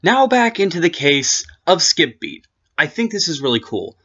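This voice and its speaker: A man's voice: on the high side, big pitch swings, moderate pace, fairly bright tone, muffled recording. A man hosting an anime commentary video, giving his opinion in a rambling, casual way full of restarts and asides.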